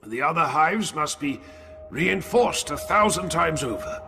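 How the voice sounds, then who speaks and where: A man voicing a grim old war commander with a hoarse, gravelly rasp, speaking in an ominous, forceful way in a dramatic audiobook style. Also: fairly high pitch, animated, unhurried, voice pushed up, full character voice. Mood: grim, foreboding. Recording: long reverb, music underneath.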